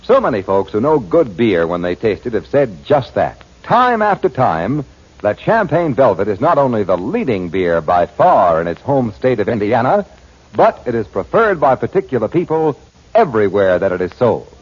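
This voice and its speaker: A man announcing on 1940s radio with an emphatic sales-pitch delivery. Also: very animated, moderate pace, breathy edge. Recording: muffled old 1940s radio broadcast.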